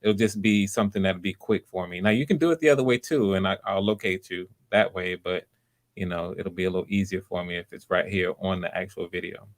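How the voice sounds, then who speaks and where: A man's voice: sits low, a little fast. A man hosting online auditions, casually coaching people in an encouraging, reassuring way. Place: live online video call.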